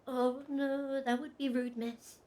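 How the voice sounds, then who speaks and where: A woman playing a timid, deferential young village servant girl in a period audio drama, shy, halting and polite. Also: high voice, little pitch movement, warm tone. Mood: shy, bashful.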